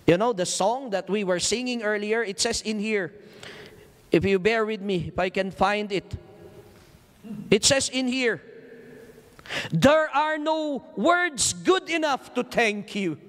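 Filipino pastor preaching in a church hall. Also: male voice, high voice, animated, slow, long pauses, fairly bright tone. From Philippines. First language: Tagalog. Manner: repeats call-and-response "Amen?" to the congregation. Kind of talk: sermon. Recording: long church hall reverb.

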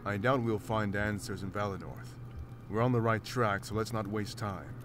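An actor playing a big, gruff, pragmatic male wolf-like warrior in a video game, serious and firm. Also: on the low side, fairly even intonation, moderate pace, music in the background, close to an everyday voice. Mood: urgent, firm.

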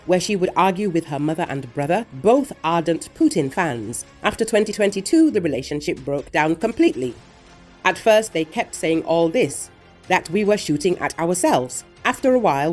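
A woman reading a scripted news report in a measured, even voice, relaying quotes from others. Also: fairly low pitch. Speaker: news narrator. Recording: voice-over with faint music underneath.

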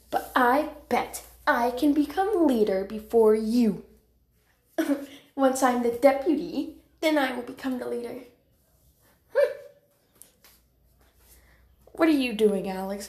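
A woman's voice: on the high side, a little mellow. A woman voicing a cocky, boastful young warrior cat in amateur character voice lines, with a dramatic, teasing manner. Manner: bragging, taunting, dramatic. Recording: roomy home recording.

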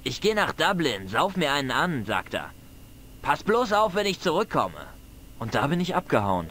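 A man's voice: on the high side, sing-song, normal speed, sharp tone, a little hiss or hum. A man voicing a cheeky, defiant teenage village boy in a video game, boyish, slangy and cocky, with a flair for dramatic tall tales.

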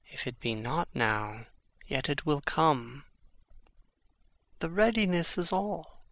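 An actor playing Hamlet, a brooding, witty young prince, in a measured, theatrical Shakespearean reading. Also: male voice, fairly high pitch, big pitch swings, fairly bright tone, dry recording, heavily acted. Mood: resigned, philosophical. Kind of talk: dramatic reading of Shakespearean verse.

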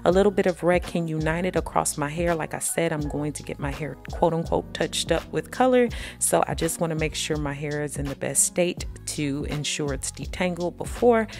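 A woman's voice: deep voice, normal speed, few pauses, a little mellow, faint music behind. A woman, chatting to viewers in voice-over about her self-care routine. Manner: chatty, addresses viewers as y'all. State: pregnant. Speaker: beauty vlogger.

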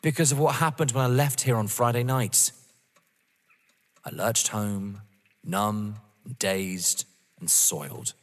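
A man acting a defiant, contemptuous, wounded rival in an audio drama, husky and emphatic. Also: on the low side, slow pace, long pauses. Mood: wounded defiance. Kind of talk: dramatic stage-play acting. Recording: long echoing reverb.

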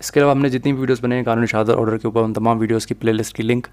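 A man's voice: mid-range voice, fairly even intonation, rapid-fire, a little mellow. A man explaining a law article as a video lecturer, switching between English and his own language as he teaches. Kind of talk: lecture over animated slides.

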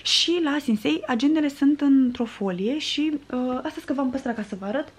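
Higher-voiced young woman chatting casually. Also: husky. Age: 20s. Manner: rambling, conversational. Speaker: vlogger. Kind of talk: casual chat to camera. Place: small furnished room at home, indoors.

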